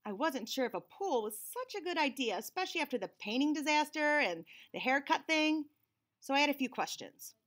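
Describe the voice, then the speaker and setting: A woman in her forties reads a picture book aloud for children. Her voice sits fairly high and moves expressively up and down as she dramatizes the narration. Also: a little fast. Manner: animated, clearly dramatized for children. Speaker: children's read-aloud host. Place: small indoor room.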